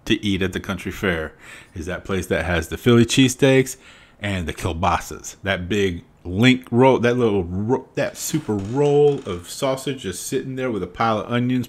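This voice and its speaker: A middle-aged Southern man with a somewhat low voice talks into a studio mic in a small home room. His chat is casual and emphatic, full of asides and ready laughter. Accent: Southern American. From Southern United States. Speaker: reaction video host. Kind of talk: casual commentary. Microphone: studio mic on arm, wearing headphones.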